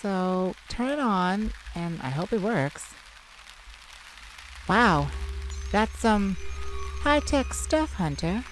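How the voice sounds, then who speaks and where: A woman voicing a feisty, sardonic male vampire with a softer side, in a theatrical ASMR roleplay addressed to the listener. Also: mid-range voice, very slow, long pauses, faint music behind, rough recording. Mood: wry, questioning. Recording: steady rain ambience behind the voice. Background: rain falling.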